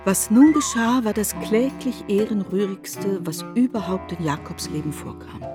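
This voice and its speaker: A woman narrating a literary radio drama in deliberate, elevated phrasing. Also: mid-range voice, lively intonation, music in the background.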